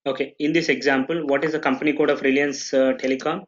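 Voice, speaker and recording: A man running a software training session, explaining steps and checking in with frequent "okay"s and restarts. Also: medium pitch, level tone, fast talker, lo-fi sound, a little hiss or hum. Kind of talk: live online training session.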